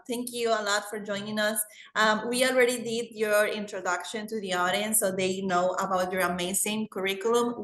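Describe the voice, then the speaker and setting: A woman's voice: medium pitch, fairly bright tone. A woman moderating an online panel over a video call, encouraging and full of hesitant fillers. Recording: echoey room.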